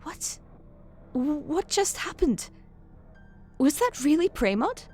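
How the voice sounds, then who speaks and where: An actress playing a bookish, sceptical cleric of a knowledge god in a fantasy audio drama. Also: female voice, high-pitched, very animated, slow, darkish tone, faint music behind, exaggerated voice. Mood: astonished.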